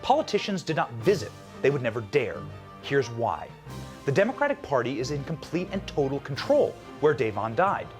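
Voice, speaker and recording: A man's voice: mid-range voice, very animated, moderate pace, soft background music. A man delivering a scripted political monologue as a commentator.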